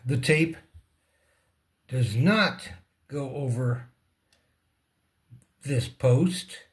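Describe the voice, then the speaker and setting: A man giving a casual hands-on repair demonstration, speaking in halting short fragments and muttering to himself as he works. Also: on the high side. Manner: talks to himself and the object. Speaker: repair tutorial presenter.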